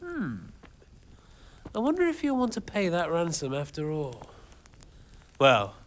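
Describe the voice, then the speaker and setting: An actor playing a scheming mercenary lord who taunts a captive with silky menace. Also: male voice, a little high, wildly swooping pitch, a little slow. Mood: taunting. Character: smug scheming mercenary lord, adult man. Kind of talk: game cutscene acting.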